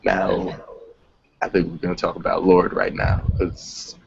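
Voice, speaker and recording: A man's voice: deep voice, completely flat delivery, measured pace, vocal fry. A man hosting a casual discussion in an easy conversational style. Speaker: host moderating a music discussion. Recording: dull audio with faint background noise.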